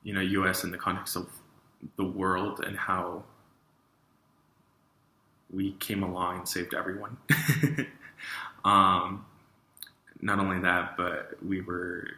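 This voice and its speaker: A man's voice: deep voice, monotone, slow, long pauses, pushed and bright, reverberant. A young man from New Mexico answering interview questions hesitantly, with many fillers. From New Mexico, USA.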